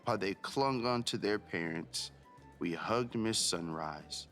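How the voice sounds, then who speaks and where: A man's voice: on the low side, unhurried, a bit bright, faint music behind. A man hosting a read-aloud for children, narrating expressively and speaking directly to his young listeners.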